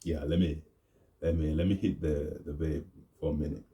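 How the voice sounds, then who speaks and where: A young man talks to camera in a loose, rambling way. His voice sits very low and sounds dark and mellow, pushed deep on purpose. The delivery stays laid-back and unhurried. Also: level tone, a little hiss or hum. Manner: deliberately deepened, laid-back voice. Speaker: vlogger. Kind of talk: rambling casual monologue.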